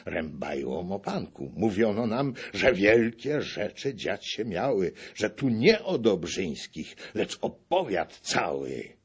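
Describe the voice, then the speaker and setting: A man voicing a blunt, booming country nobleman. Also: husky, heavily acted. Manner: booming, indignant. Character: loud-voiced male country nobleman, blunt. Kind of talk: audiobook poetry reading.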